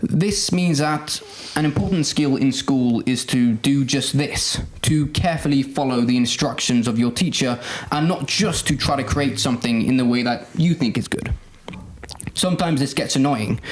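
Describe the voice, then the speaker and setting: A man's voice: mid-range voice, moderate pace, poor audio. A young man giving earnest, explanatory advice in a conversational student podcast style. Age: teens or young adult.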